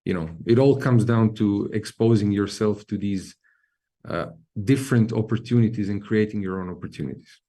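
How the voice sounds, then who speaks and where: Mellow man making a measured argument. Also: on the low side. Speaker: panel debater. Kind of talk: panel debate.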